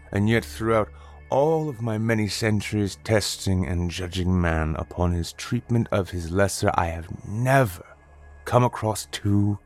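A man voicing an ancient male god who sits in judgment on a throne, speaking slowly and proclaiming in a booming voice.

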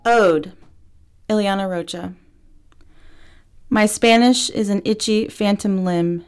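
A woman's voice: medium pitch, slow, clear, resonant tone. A woman poet reading her own poem aloud. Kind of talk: poetry reading.

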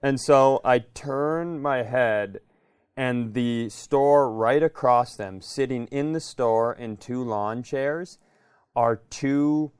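Middle-aged american guy telling funny stories, clean smooth voice, really dry close sound, emphatic. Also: mid-range voice, slow, a little mellow, no room sound. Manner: emphatic, repeats phrases, acts out quotes. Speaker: podcast co-host. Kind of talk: animated comedic storytelling in casual chat.

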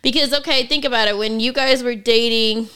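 A woman's voice: a little high, fairly even intonation, a little fast, bright, forceful tone, smooth. A young woman hosting a podcast, chatting casually, firing off questions and laughing often. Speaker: podcast host.

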